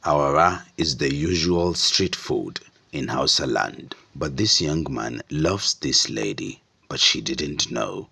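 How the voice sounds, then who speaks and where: Male storyteller, Nigerian accent, extremely low husky voice, deliberate descriptive folktale narration. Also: lively intonation, sharp tone.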